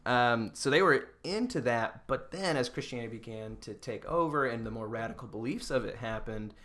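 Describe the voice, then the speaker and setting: A man's voice: medium pitch, expressive, normal speed, a bit bright. An American man hosting a podcast, chatting casually and jokingly as he explains things to his co-host. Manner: joking, informal.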